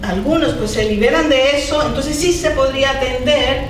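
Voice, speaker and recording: A woman speaks at a public debate, slowly finding her words through fillers and restarts. Her voice sits a little high. She is recorded from a distance in a big, strongly echoing hall with faint noise behind her. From Colombia, Caribbean coast. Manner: hesitant, searching for words, many fillers and restarts; puts on a mocking voice when quoting others. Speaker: panelist at a debate. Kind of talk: unscripted public talk. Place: large echoey hall. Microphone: far from the microphone. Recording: echoey hall, distant mic.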